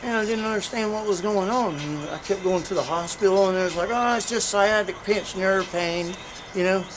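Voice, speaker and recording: A man's voice: sits high, normal speed, music in the background, rough recording. A man in a casual street interview who tells his story in an earnest, preaching way, filling his talk with "you know.".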